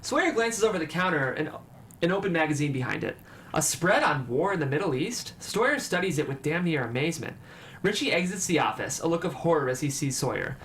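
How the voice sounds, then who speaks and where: A man's voice: on the high side, very animated, brisk, fairly bright tone. A man reading scripted stage directions as the narrator of a table read. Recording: clear background noise.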